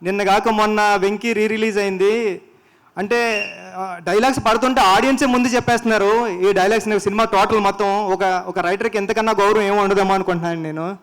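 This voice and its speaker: A man's voice: high voice, steady tone, fairly quick, a bit bright. A man with a Telugu accent giving a casual congratulatory speech at a film promotional event.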